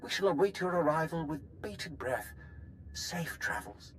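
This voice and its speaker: An actor playing an obsequious, grandiose imperial propaganda lord in a video game, with formal, flowery delivery. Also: male voice, fairly high pitch, expressive, measured pace, a little hiss or hum. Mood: grandly encouraging. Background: loud game music.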